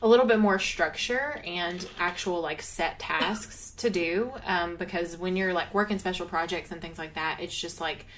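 A woman's voice: fairly low pitch, moderate pace, hardly any pauses, fairly bright tone, slight background noise. A young woman co-hosting a casual chat podcast who laughs often and fills her talk with "um" and "like". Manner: giggly, lots of fillers like "um" and "like". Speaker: podcast co-host.

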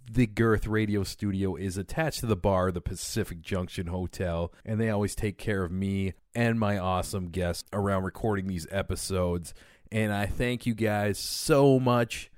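Casual man, lowish voice, dry sound. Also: a little mellow, no echo. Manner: casual, profane slang. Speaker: podcast host. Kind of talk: casual podcast talk. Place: podcast studio attached to a bar.

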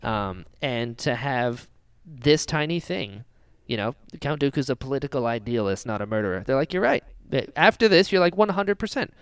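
A man's voice: mid-range voice, normal speed, hardly any pauses, smooth, no echo, studio quality. A man hosting a podcast, chatting casually and enthusiastically as a fan. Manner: enthusiastic, animated. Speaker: podcast host.

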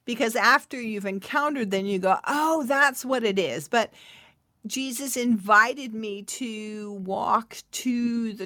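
Slow, soft-spoken woman telling a testimony. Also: fairly high pitch, no echo, clean studio sound. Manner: soft, intimate storytelling with laughs. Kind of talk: testimony sermon.

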